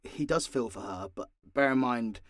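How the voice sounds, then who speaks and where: A man's voice: medium pitch, moderate pace, dry recording, clean studio sound. A man speaking off the cuff as an audio drama creator answering listeners, blunt and sweary with a theatrically exasperated manner. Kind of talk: unscripted Q&A monologue to listeners.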